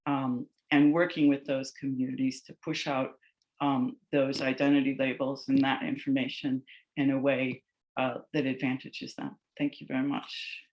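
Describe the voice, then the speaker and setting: A man wrapping up his part of a roundtable panel in a halting way. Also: medium pitch, little pitch movement, muffled recording, slight background noise. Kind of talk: roundtable presentation.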